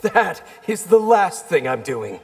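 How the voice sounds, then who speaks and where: An actor playing a plucky, wry young ninja hero in a fighting game, earnest with light humour. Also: male voice, high-pitched, big pitch swings, slow pace, full character voice. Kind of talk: video game fight dialogue. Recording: long reverb, very noisy game audio.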